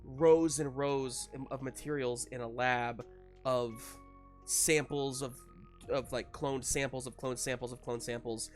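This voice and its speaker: A man running a tabletop game, improvising narration in a halting, conversational and encouraging way. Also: medium pitch, fairly even intonation, soft background music. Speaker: game master.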